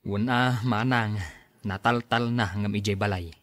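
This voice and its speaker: A man's voice: fairly low pitch, fairly even intonation, measured pace, fairly bright tone. A man playing an earnest, respectful and worried grown son in a radio drama. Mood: concerned.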